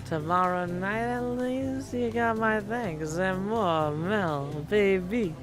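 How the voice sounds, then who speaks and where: A man's voice: high-pitched, slow. A man voicing a laid-back, merry god of wine from a video game, with a familiar, easygoing drawl. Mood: mellow, grateful. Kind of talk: video game character dialogue. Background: music.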